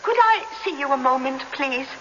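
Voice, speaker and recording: An actress playing a prim, flustered middle-aged spinster in a radio drama, stammering and over-genteel. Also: female voice, high voice, lively intonation, moderate pace, pushed and bright. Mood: embarrassed, hesitant. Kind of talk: 1970s radio drama acting. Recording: dull, noisy old radio broadcast, reverberant.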